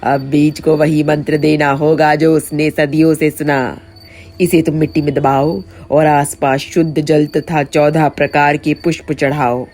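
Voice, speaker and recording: A woman voicing a grave male tantric mystic in an animated folk tale, solemn and warning in manner. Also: deep voice, unhurried, pitched below the performer's own voice. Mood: solemn, instructing.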